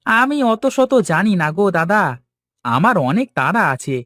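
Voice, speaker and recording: A man voicing a hurried, chatty fish market worker in a village cartoon. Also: high-pitched, very animated, bright, forceful tone, pitched above the performer's own voice, exaggerated voice. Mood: harried. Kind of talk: Bengali cartoon dialogue acting.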